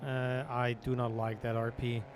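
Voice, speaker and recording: A relaxed male streamer rambling through casual game chat at an ordinary mid pitch. His talk drifts with fillers and restarts. Faint game noise sits underneath. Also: normal speed, rough recording. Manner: relaxed, rambling, laid-back.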